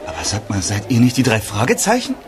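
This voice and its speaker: An actor playing an excitable, spooked but eager young man visiting a theme park in a German radio play, animated and sometimes hesitant. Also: medium pitch, fast talker, background noise. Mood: spooked, surprised.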